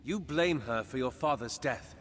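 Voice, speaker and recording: A man's voice: medium pitch, expressive, moderate pace, fairly bright tone, long reverb tail, slight background noise, natural voice. An actor playing a passionate, blunt male companion in a dramatic video game, speaking in an emphatic, confronting way. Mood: confronting, heated.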